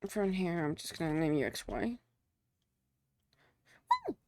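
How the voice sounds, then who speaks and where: A woman's voice: low voice, expressive, measured pace, a little mellow. A young woman whose first language is Spanish, streaming live and chatting casually with viewers, thanking them and muttering to herself as she works. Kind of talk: casual live-stream chat while working.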